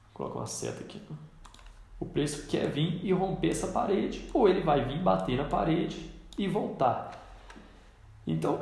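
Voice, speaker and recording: A man with a cold talks through a chart in a casual, pointing way. His voice sits a touch high and very gravelly. The sound comes back strongly off the walls of an echoey room. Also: darkish tone. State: congested with a cold. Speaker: trading instructor. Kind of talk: screen-recorded trading lesson.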